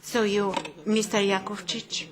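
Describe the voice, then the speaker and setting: A woman chairing a parliamentary committee hearing, polite and a little halting as she hands out the floor. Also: mid-range voice, level tone, crowd noise.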